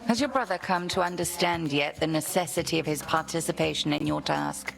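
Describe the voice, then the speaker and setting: A woman voicing a stern, commanding headmistress witch in a game, speaking in clipped orders with a gravelly voice, over game music. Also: deep voice, a little fast, rough recording. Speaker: headmistress and mentor. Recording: game dialogue with music behind.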